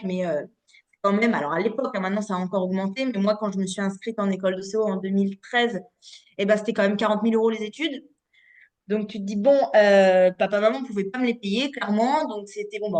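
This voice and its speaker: A woman in her late twenties from the Paris region, an osteopath telling her story as a podcast guest in a lively, chatty way with many fillers and restarts. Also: medium pitch. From Paris region, France. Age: 20s. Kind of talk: casual podcast interview.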